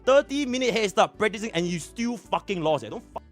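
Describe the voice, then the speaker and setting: A foul-mouthed Singaporean ranter, as a man puts him on, barks jeers in heavy Singlish. The voice sits high and swings widely. Faint music plays underneath. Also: lively intonation, brisk. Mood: mocking. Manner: shouty, insulting, clipped slang tags.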